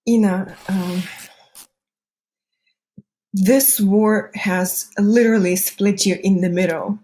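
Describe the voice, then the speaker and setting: A woman's voice: medium pitch, fairly even intonation, slow pace, warm tone, rough recording. A woman hosting a conversational interview, putting questions to a guest. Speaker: interviewer, show host.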